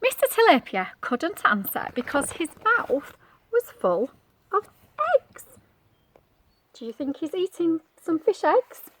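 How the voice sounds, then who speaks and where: A woman reads a picture book aloud to young children in a high, bright voice with big swoops of pitch. She is expressive and coaxing, breaking off for questions and exclamations. There is faint noise behind her. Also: takes it slowly, poor audio. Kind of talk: animated storybook read-aloud with asides to children.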